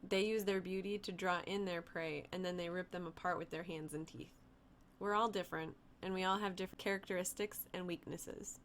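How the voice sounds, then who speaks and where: A woman voicing a young female vampire who speaks in a calm, matter-of-fact way with a teasing edge. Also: on the low side, brisk, a little hiss or hum, lightly acted. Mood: explaining.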